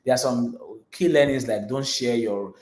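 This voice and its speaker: A man's voice: mid-range voice, normal speed, darkish tone. Nigerian man with an earnest, roomy-sounding voice. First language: Yoruba. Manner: earnest, repeats words, many "you know" fillers. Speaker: guest speaker. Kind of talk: panel interview answer. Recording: roomy echo.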